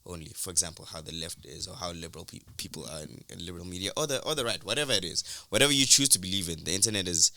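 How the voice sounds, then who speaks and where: Low gravelly young South African man. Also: very fast, hardly any pauses, no echo. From South Africa, Durban. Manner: relaxed, rambling with fillers and restarts. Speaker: musician guest. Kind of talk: casual interview storytelling.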